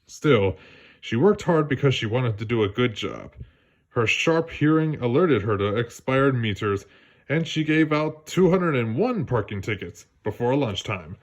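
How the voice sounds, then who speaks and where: Lively man reading a storybook aloud. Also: mid-range voice, unhurried. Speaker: storybook reader. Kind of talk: children's read-along narration.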